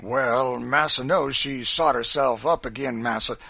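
Guttural, brutal plantation driver. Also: male voice, medium pitch, a little slow, vocal fry, bright, forceful tone, slightly rough, no echo, pitched above the performer's own voice, heavily acted. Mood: sullen, scheming. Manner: heavy dialect speech, barking threats. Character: brutal, spiteful male plantation driver, bullying.

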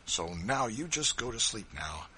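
A man doing a booming rustic Kentucky farmer. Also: very animated, a little slow, slight background noise, heavily acted. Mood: reassuring. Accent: rustic Kentucky dialect. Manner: loud, folksy, emphatic drawl. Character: huge rough-hewn older Kentucky farmer, kindly.

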